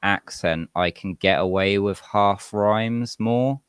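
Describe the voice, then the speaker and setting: A man's voice: lowish voice, takes it slowly, smooth, no room sound, studio quality. A man with a British accent and a slight American twang, chatting casually and reflectively as an interview guest. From England, UK. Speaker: rapper, podcast guest.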